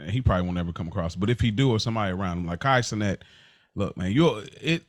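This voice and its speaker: A man's voice: lowish voice, expressive, fast, dry recording. A man co-hosting a podcast, debating casually and earnestly, often restarting and repeating his point. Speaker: podcast co-host.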